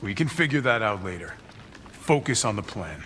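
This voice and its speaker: An actor playing a gruff, determined middle-aged military squad captain in a video game, speaking in terse commands. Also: male voice, on the low side, big pitch swings, moderate pace, sharp tone, a little hiss or hum. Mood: terse, resolute.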